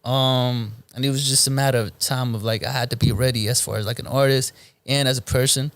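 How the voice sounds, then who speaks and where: A man in his late twenties from Belgium, a native Moroccan Arabic speaker, talking casually as a rapper guest in an interview, with a relaxed, halting delivery full of ums. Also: medium pitch, no echo. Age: 20s. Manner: relaxed, hesitant with frequent ums and restarts.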